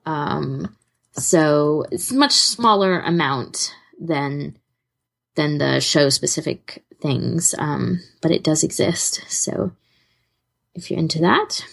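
A woman's voice: deep voice, slow, creaky, husky. A woman co-hosting a podcast in casual fan chat, rambling a little and correcting herself as she goes. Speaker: podcast co-host.

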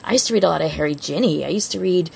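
A woman's voice: sits low, racing, creaky. A woman co-hosting a casual fan podcast, talking in an effusive, rambling way. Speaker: podcast co-host.

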